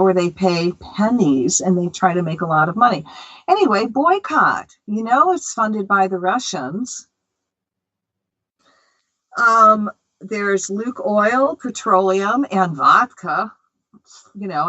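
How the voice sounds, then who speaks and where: Slow-talking chatty woman radio host. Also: medium pitch, sharp tone, highs cut off. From United States. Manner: chatty, opinionated, rambling with fillers. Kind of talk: casual spiritual radio talk.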